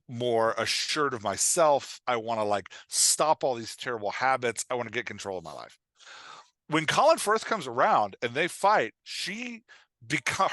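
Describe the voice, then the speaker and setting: A man's voice: medium pitch, pushed and bright, no room sound. A man speaking as a guest writing coach on a podcast, explaining things in an informal, emphatic way with quoted examples. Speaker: guest author and dialogue coach.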